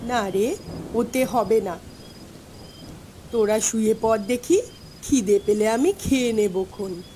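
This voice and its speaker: A woman's voice: fairly high pitch, slow pace, mellow, slight background noise. A woman playing an eerily tender, coaxing mother in a ghost story, with a trembling, plaintive voice. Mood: soft, reassuring. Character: mother come home at night in a storm, eerily tender, coaxing. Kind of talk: dramatised Bengali ghost story acting.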